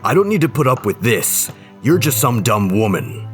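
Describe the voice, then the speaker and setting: A man's voice: mid-range voice, very animated, normal speed, faint music behind, natural voice. A man playing a menacing, resentful husband who mutters with brooding contempt in a horror audio drama. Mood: scornful. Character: menacing, resentful husband obsessed with his gate to hell.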